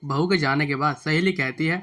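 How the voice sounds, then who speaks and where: A male storyteller delivers scripted narration. His voice is sharp and bright, pitched a little high for a man, with music underneath. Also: fairly quick.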